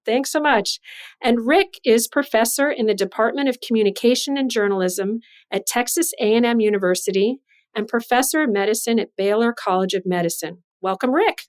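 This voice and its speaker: A woman's voice: fairly high pitch, a little fast. A woman hosting a podcast interview, introducing guests and putting questions to them. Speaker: podcast host and journal editor.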